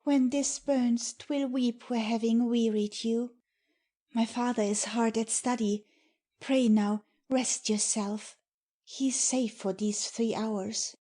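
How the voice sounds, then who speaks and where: A woman's voice: on the high side, fairly even intonation, warm tone. A woman playing an innocent, modest, lovestruck young woman in a dramatic Shakespeare audiobook, speaking verse earnestly. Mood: tender concern. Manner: slow, earnest delivery of verse.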